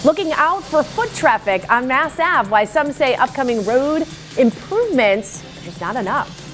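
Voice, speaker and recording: A woman's voice: high-pitched, sing-song, normal speed, music in the background. A woman with an American accent presenting a live TV weather forecast and news in a broadcast style.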